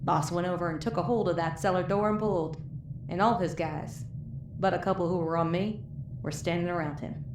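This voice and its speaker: In a roomy, echoing recording with noise behind it, a woman acts out a bitter wasteland moonshiner woman with a low voice and a Southern US drawl. She is wounded and worn out, telling her tale in folksy, profane audio drama style. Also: a little fast, natural voice. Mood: wry, weary. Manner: folksy, profane, weary storytelling. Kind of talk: dramatic first-person storytelling in audio drama. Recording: roomy echo, clear background noise.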